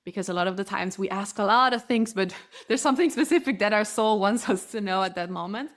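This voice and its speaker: A woman's voice: medium pitch, brisk. Spanish-native woman explaining spiritual practice. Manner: earnest, flowing explanation with frequent restarts. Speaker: spiritual guide, interview guest. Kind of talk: podcast interview.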